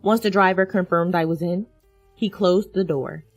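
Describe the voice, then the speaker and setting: A woman's voice: fairly low pitch, fairly even intonation, moderate pace, dry recording, faint music behind. Woman narrating a dramatic story, dry sound. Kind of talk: scripted first-person dramatic narration.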